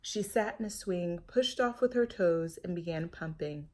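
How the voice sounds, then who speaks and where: A woman's voice: fairly low pitch, moderate pace, mellow. A woman reading a children's picture book aloud, with chatty asides to her young listeners. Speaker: storytime reader.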